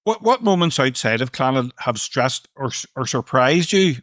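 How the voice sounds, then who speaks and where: A man's voice: medium pitch, big pitch swings, moderate pace, fairly bright tone, no echo. Male podcast host asking questions, dry sound. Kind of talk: interview questions.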